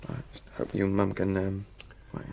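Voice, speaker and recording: An actor playing an awkward, concerned young adult English son in a naturalistic radio drama, with a husky, creaky voice and a hesitant, tentative way of talking. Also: male voice, deep voice, completely flat delivery, normal speed, dark tone, slight background noise.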